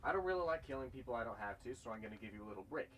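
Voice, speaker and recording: An actor playing a cool, self-reliant gunslinger drifter in a sci-fi western, wry and matter-of-fact, with music underneath. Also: male voice, mid-range voice, animated, rapid-fire, a bit bright, slight background noise, natural voice, poor audio. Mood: calm, matter-of-fact. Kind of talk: western-flavoured sci-fi drama acting.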